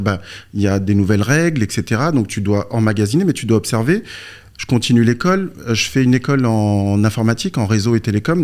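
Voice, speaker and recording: A man talks as a guest coach in an interview, with a mid-pitched voice. He explains things informally, often asking a question and answering it himself. His delivery is conversational, like someone telling his own story. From born in Morocco, moved to France aged three or four. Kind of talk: conversational explanation with personal anecdotes.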